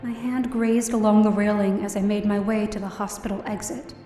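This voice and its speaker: A woman's voice: mid-range voice, level tone, fairly quick, dark tone, reverberant, distant mic, faint music behind, natural voice. A woman playing a down-on-her-luck, distressed young woman narrating her own story in an audio drama. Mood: numb, anguished. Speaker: lead actor in audio drama. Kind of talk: first-person dramatic narration.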